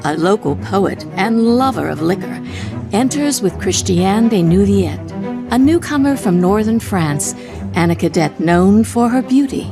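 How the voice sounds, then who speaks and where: A woman's voice: mid-range voice, expressive, measured pace, creaky. A woman playing the storytelling narrator of a period comedy radio play, reading scripted narration. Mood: scene-setting. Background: music.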